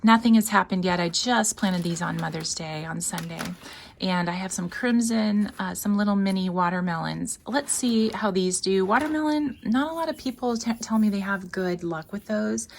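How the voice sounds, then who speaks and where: A woman's voice: mid-range voice, dry recording. A woman hosting a gardening vlog, chatting casually and musing as she shows her garden. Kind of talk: casual garden tour chat.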